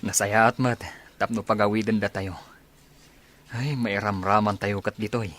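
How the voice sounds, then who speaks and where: A man's voice: on the low side, steady tone, a little slow, fairly bright tone. A man playing a mild, homesick soldier in his twenties with an Ilocano accent in a radio drama. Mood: wistful. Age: 20s.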